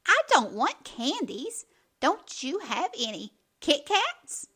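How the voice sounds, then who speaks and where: A woman's voice: sits high, very animated, takes it slowly, pushed and bright, pitched above the performer's own voice, full character voice. A woman voicing a pushy, mysterious little witch girl in a children's storybook, insistent and exclamatory. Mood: disgruntled.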